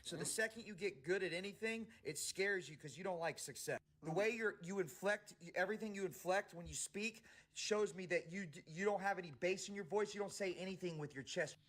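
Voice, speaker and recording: A high-pitched man talking down to someone in a confrontational video rant. He sounds condescending and lecturing, and he keeps calling the listener homie. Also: a little fast, few pauses, fairly bright tone.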